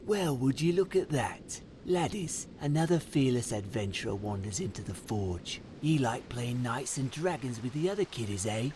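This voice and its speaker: Male actor as jeering forge worker, sailor slang, fantasy game drama, medium pitch, light background noise. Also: animated, moderate pace, few pauses, darkish tone, lightly acted. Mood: mocking. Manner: taunting, folksy dialect with archaic sailor slang. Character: gruff, mocking male forge worker in a seafaring fantasy town. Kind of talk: fan-made fantasy game drama acting.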